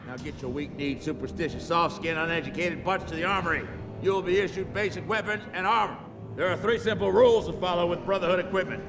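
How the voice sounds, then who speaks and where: A man playing a high-pitched, belligerent drill sergeant. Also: close to an everyday voice. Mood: threatening. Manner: barking, insulting, commanding. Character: belligerent military paladin drill instructor berating recruits. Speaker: drill instructor. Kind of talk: video game cutscene acting.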